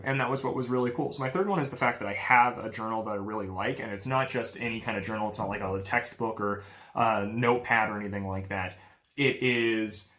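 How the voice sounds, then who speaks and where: A man's voice: mid-range voice, bright, forceful tone. A young man talking casually to camera in a vlog, upbeat and rambling, with many fillers. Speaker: real estate agent vlogger.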